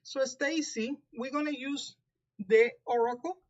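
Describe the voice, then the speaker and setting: A woman's voice: sits high, fairly even intonation, unhurried, muffled recording. A woman with a Puerto Rican accent, a native Spanish speaker, giving a live tarot reading, talking carefully with frequent restarts. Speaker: tarot reader.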